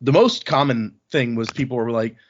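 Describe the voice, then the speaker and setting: A man's voice: mid-range voice, lively intonation, normal speed, muffled recording. A man chatting casually as a podcast host.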